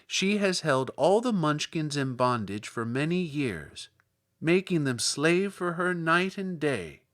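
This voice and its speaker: Guy putting on a sweet old little lady voice, calm and matter-of-fact, storybook style. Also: fairly high pitch, slow pace, full character voice. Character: kindly tiny old woman, calm and sweet. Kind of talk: audiobook character voice.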